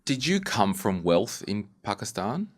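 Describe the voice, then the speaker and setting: A man with a low, deep voice hosts a podcast conversation. He talks casually and informally, feeling his way toward each question with some self-deprecation. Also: sing-song, unhurried. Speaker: podcast host, interviewer.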